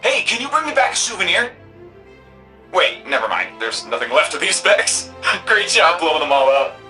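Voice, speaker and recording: An actor playing an excitable, friendly ally in a sci-fi video game, gushing and playful. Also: medium pitch, normal speed, music in the background, close to an everyday voice. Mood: playful, pleased. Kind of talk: video game mission dialogue.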